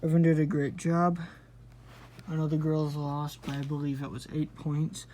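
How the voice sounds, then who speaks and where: A man's voice: on the high side, monotone, moderate pace, mellow. A man hosting his own video channel, chatting casually to viewers in an informal, meandering and apologetic way. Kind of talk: casual, rambling vlog talk to viewers.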